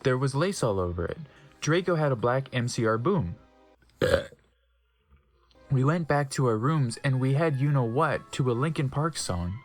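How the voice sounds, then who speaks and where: A man's voice: medium pitch, animated, moderate pace, soft background music. A man narrating fan fiction as a dramatic comedic reading, breaking off for wry offhand asides and stumbling over odd wording. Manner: wry, stumbles over misspelled words and comments on them.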